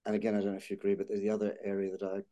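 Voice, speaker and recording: Low, flat-voiced man. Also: racing. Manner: thoughtful, hedging with fillers and restarts. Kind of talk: policy discussion.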